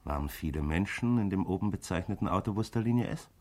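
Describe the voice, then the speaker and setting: Low-voiced, officious male interrogator. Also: lively intonation, fast, mellow. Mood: formal, probing. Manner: formal, bureaucratic questioning. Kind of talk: radio drama acting.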